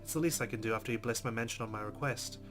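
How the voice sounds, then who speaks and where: Male let's player reading a visual novel aloud, mid-pitched, with clear music underneath. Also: racing. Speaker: let's play host. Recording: music behind the voice.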